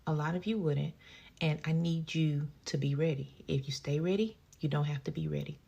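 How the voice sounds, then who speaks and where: A woman's voice: low-pitched, fairly even intonation, normal speed, vocal fry, dark tone, breathy edge, highs cut off. A woman giving blunt, challenging style advice straight to her viewers. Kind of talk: direct advice to camera.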